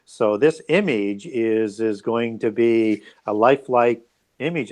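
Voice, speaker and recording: A man speaking as an interview guest, explaining his views in a conversational, musing way. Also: medium pitch.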